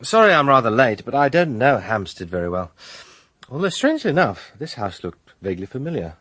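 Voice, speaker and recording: An actor playing a self-assured British defence barrister in a radio drama, husky, smooth-talking and probing. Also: male voice, mid-range voice, very animated, a little fast, a bit bright. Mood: courteous, probing.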